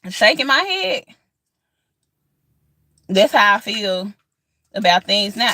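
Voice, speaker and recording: A woman's voice: mid-range voice, sharp tone, no room sound. Earnest woman in her thirties. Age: 30s. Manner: earnest, repetitive, encouraging, colloquial with many fillers. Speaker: vlogger. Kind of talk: casual outdoor vlog chat. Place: outdoors in sunlight.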